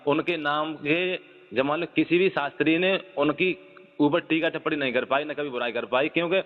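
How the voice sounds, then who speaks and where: A man's voice: on the high side, normal speed. A man arguing on a phone call, pressing reproaches persistently while keeping respectful forms of address. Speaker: caller. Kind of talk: phone argument. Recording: muffled phone call recording with reverb.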